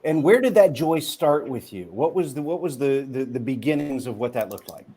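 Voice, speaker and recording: A man interviewing a guest in a relaxed, enthusiastic way, with many fillers and quick questions. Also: mid-range voice, breathy edge. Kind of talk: casual chat.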